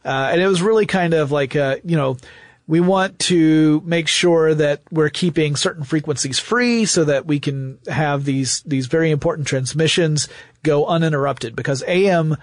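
A man's voice: fairly high pitch, moderate pace, no room sound, studio quality. A man talking as a podcast host, explaining step by step in a casual, enthusiastic storyteller's way.

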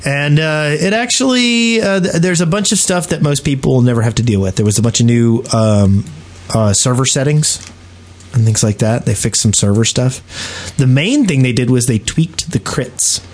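A man co-hosting a casual gaming podcast, explaining things in a halting, conversational way. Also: medium pitch. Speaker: podcast co-host.

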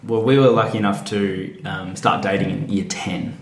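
A man's voice: lowish voice, fairly even intonation, moderate pace, creaky, slight background noise. A man from Western Australia with an Australian accent, chatting casually as an interview guest. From Fremantle, Western Australia. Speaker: interview guest, tour operator. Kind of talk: casual chat. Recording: roomy, echoey sound.

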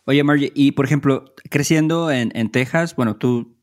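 A man hosting a podcast, relaxed and conversational, with small fillers. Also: medium pitch, normal speed. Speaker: podcast host. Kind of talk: casual podcast chat.

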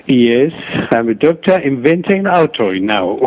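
Slow halting Ethiopian man on radio. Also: mid-range voice, sing-song. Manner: halting, repeats and restarts words. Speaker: guest author and doctor. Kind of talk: interview answers. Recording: dull lo-fi radio recording.

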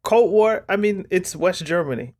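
A man's voice: sits high, expressive, normal speed, a little mellow. A man co-hosting a casual football podcast, thinking aloud in a rambling way with many fillers. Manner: thoughtful, rambling, lots of "like". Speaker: podcast co-host.

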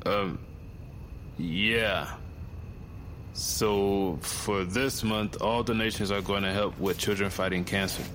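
A man's voice: fairly low pitch, steady tone, slow pace, pauses often, fairly bright tone, slight background noise. An actor playing a polite pizza delivery man with a scripted customer-service manner in an audio drama. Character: polite young male pizza delivery guy.

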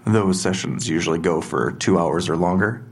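A police detective with a deep, gravelly voice. He questions in a steady, businesslike way, measured and probing, as in an audio crime drama.

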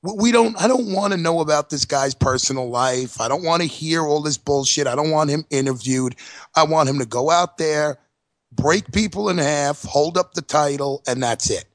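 A man's voice: fairly high pitch, moderate pace, no echo, clean studio sound. A man co-hosting a casual wrestling podcast, blunt and emphatic, swearing freely. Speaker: podcast co-host.

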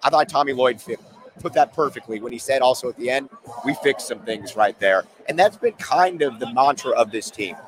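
Hoarse man hosting sports talk. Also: medium pitch, animated, a little fast, slight background noise, poor audio. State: losing his voice. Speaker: sports show host.

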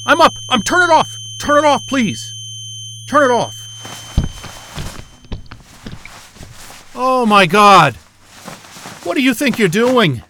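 Cranky sarcastic scientist in an audio drama, high sharp voice that swings all over, exasperated. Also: male voice, lively intonation, unhurried, slight background noise, full character voice. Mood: startled, furious. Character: Wayne, irritable sarcastic astrophysicist, adult man. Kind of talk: naturalistic audio drama acting.

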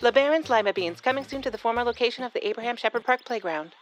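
An actress playing a polished, perky presenter reading a scripted mock radio advertisement. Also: female voice, a little high, lively intonation, very fast, a little hiss or hum, lightly acted. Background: music.

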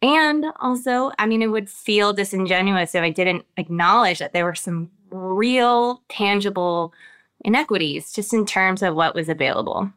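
An American woman, an actress with children of her own, giving reflective interview answers with many pauses and restarts. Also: mid-range voice, a bit bright, smooth. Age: adult with children. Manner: thoughtful, halting, many restarts and fillers.

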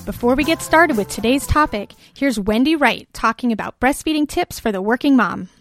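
A woman hosting a podcast, reading the show's introduction in a bright, friendly announcer manner. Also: on the high side, expressive, normal speed, no room sound, faint music behind. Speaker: podcast host. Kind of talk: scripted show introduction.

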